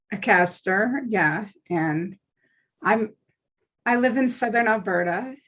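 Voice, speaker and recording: A woman from Canada speaks with a medium-pitched voice in a casual, conversational style. She introduces herself as an actor might on an informal video call. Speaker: actor and writer. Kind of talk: casual self-introduction and chat.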